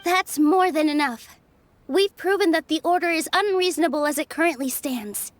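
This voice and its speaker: A woman's voice: high voice, moderate pace, no room sound, natural voice. A woman voicing a young female dancer who argues her case earnestly and firmly. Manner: earnest, firm in argument. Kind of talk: game voice acting.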